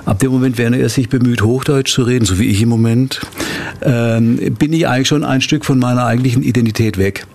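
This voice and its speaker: A man's voice: mid-range voice, fairly even intonation, fast, darkish tone, slight background noise. A man talking reflectively as a musician guest, often adding little questioning tags. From Swabia, southern Germany. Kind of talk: reflective interview talk.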